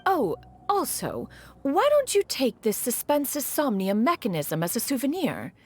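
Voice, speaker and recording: A woman voicing a wise, composed elder mentor in a video game, speaking in a calm, measured, advising way. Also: high voice, slight background noise, heavily acted. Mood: serene.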